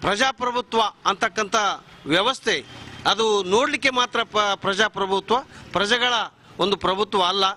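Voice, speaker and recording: A man's voice: high voice, normal speed, pushed and bright. A middle-aged man making an impassioned political speech, emphatic and given to rhetorical repetition. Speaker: member of the public giving views.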